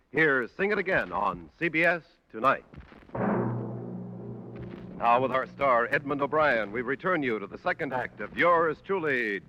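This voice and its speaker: A man with an American accent making polished, promotional announcements in the style of mid-century network radio. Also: medium pitch. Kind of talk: 1940s-50s radio announcing, scripted promo. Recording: muffled vintage radio recording, faint hiss.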